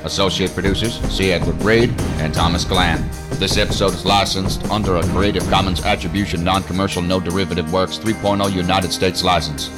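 A man's voice: low voice, fairly even intonation, fairly quick. A man announcing the credits of an audio drama in scripted narration. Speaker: announcer reading show credits. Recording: music behind the voice.

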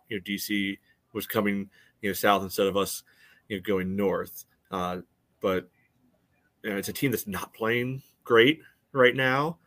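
A man with a fairly low voice talks sports on a podcast, recorded very dry. His analysis is casual and rambling, circling through filler phrases. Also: fairly bright tone, no room sound. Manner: rambling, peppered with "you know".

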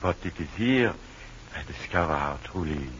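A man's voice: deep voice, lively intonation, normal speed, slight background noise. Delirious, lovestruck Frenchman, muffled old radio. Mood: wistful. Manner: fervent, drops French phrases into his talk. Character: sick, delirious Frenchman, devoted and stubbornly noble. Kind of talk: old-time radio drama acting.

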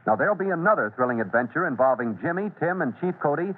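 A man's voice: a little high, expressive, fast talker, husky. A man narrating as the announcer of a dramatic old-time radio serial. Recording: tinny old radio recording.

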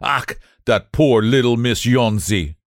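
A man voicing a fierce little old painter with a German accent, gruff and loud. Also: medium pitch, takes it slowly, dry recording, clean studio sound, voice pushed up, natural voice. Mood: contemptuous.

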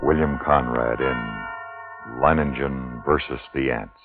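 A man announces a 1950s radio thriller with solemn, deliberate drama. His voice is extremely deep. Loud music surrounds him on a thin, tinny old recording.

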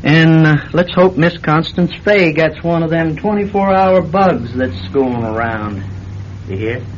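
An actor playing a sly, folksy small-town police chief in a radio drama, with a hesitant, insinuating way of talking. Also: male voice, fairly high pitch, a little slow, lightly acted. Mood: veiled menace. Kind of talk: classic radio drama acting. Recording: dull, slightly noisy radio recording.

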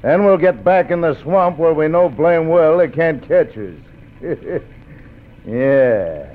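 A man's voice: on the high side, expressive, takes it slowly. An American man playing a ruthless, gloating Old West outlaw leader in a radio western, bossing his gang with a folksy drawl. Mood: cold, gloating. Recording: tinny, noisy old radio recording.

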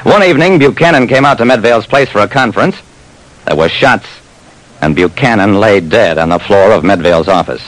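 A man's voice: lowish voice, lively intonation, fairly quick, close to an everyday voice. An actor playing a tough private detective narrating his case in clipped, terse 1940s radio drama style, on a noisy old recording. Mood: hard-boiled narrating. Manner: clipped, terse, hard-boiled.

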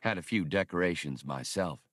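Man reading as a wry, low-voiced veteran officer. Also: moderate pace, fairly bright tone, no room sound, clean studio sound. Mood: wry, reminiscing. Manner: wry, mild storytelling. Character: seasoned, easygoing male ex-officer telling old war stories.

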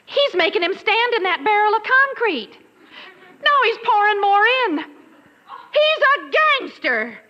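High, sharp-tongued exasperated housewife. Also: female voice, sing-song, measured pace, full character voice. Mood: alarmed, nagging. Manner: scolding, sarcastic. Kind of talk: 1940s radio comedy acting. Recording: muffled vintage radio recording in hall.

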